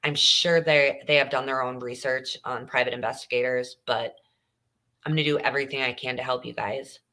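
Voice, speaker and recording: Low-voiced earnest woman hosting a livestream. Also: steady tone, fairly quick, bright, forceful tone. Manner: earnest, chatty, with many fillers. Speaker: true crime podcast host. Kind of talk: casual livestream talk.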